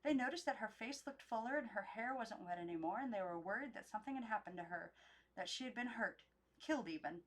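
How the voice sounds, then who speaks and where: A woman's voice: a little high, expressive, fast, low-quality recording. Young woman reading a storybook aloud. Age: young adult. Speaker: amateur book reader. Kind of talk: unedited storybook read-aloud.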